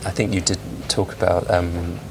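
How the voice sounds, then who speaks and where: A man hosting, who asks curious questions slowly, repeating words as he goes. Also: low-pitched, flat delivery. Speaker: host. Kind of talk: interview questions. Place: bookshop, indoors. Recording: noisy public bookshop.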